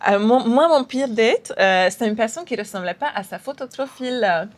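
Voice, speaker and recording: A woman's voice: a little high, animated, moderate pace. A woman who speaks with an accent, hosting a casual chat and encouraging her guest while telling her own story. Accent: foreign accent. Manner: warm, encouraging, storytelling with fillers. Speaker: host.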